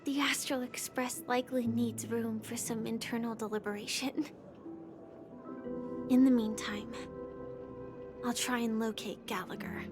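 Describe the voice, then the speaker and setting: An actress playing a composed, observant young guide in a video game, speaking gently and explaining things with poise. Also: female voice, on the high side, steady tone, a little slow, long pauses, music in the background, close to an everyday voice. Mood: composed, gentle. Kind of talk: scripted video game dialogue.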